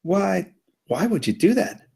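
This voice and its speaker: A man's voice: high-pitched, very animated, measured pace, gravelly, darkish tone, husky, exaggerated voice. A man playing a meek, hesitant male spaceship crewman in a comedy table read. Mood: unsure. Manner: hesitant, unsure.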